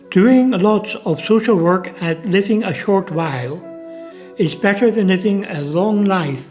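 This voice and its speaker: A man with an Irish accent, narrating a documentary and speaking in a measured, unhurried way with occasional fillers. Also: high voice, a little mellow. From United Kingdom. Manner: slow, measured delivery with hesitant fillers when speaking freely. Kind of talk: scripted narration and casual spoken message.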